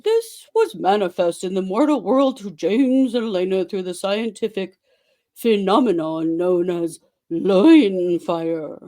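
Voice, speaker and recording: Performer as campy romance-novel alter ego. Deliberate, unhurried dramatic reading, lively swooping intonation, tongue-in-cheek. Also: medium pitch, close to an everyday voice. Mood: mock romantic. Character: Fabio Toadface, mock romance-novel author alter ego.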